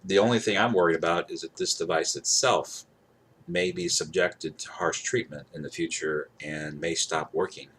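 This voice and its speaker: A man's voice: deep voice, fairly even intonation, moderate pace, fairly bright tone. A man giving a casual product demonstration to camera, rambling and repeating himself for emphasis. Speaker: gadget reviewer. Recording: clear background noise.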